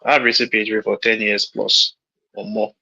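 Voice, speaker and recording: A man's voice: on the low side, very animated, moderate pace, vocal fry, bright, forceful tone, slightly rough. A man in his late thirties with a Nigerian accent, giving frank, rambling career advice on a call and often restarting his sentences. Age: late 30s. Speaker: mentor hosting a call. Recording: lo-fi call audio.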